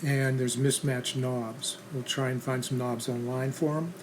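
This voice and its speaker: A man's voice: mid-range voice, fairly even intonation, measured pace. A man giving casual, practical how-to narration as the host of a restoration channel, in a plain instructional manner. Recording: clear background noise and ambience.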